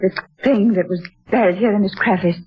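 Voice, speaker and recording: A woman's voice: mid-range voice, fairly quick, husky, close to an everyday voice. An actress playing an earnest, uneasy young woman painter, on an old lo-fi radio broadcast. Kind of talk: 1940s radio acting.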